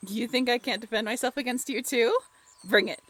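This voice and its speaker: A woman's voice: a little high, a little fast, fairly bright tone. A young woman playing a playful, tough-talking but shy writer in an intimate, chatty audio roleplay. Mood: giggling, protesting. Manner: chatty, teasing, giggly.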